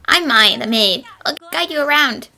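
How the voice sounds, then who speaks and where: A helpful young maid voiced by a woman, courteous and high in pitch. The tone is extremely bright, in cartoon game dub style over music. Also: lively intonation, moderate pace, gravelly, slight background noise, exaggerated voice. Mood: polite. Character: polite, helpful young female house maid. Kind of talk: fan dub game voice acting.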